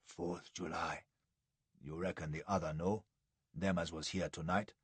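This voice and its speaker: A man voicing a tense, suspicious male ally who talks in a furious low whisper with clipped, rough grammar. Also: normal speed, no echo. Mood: fierce whisper.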